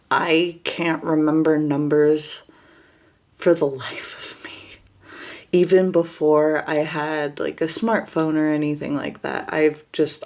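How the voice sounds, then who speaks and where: A woman talking casually to camera as she introduces herself, in a monotone voice with hesitant pauses and restarts. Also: sits low. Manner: monotone, flat delivery; hesitant, many fillers and restarts.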